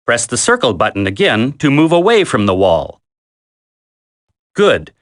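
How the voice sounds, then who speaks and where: An actor playing a military instructor in a video game tutorial, coaching a recruit in a firm, deliberate, commanding way. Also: male voice, medium pitch, very animated. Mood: instructive, firm.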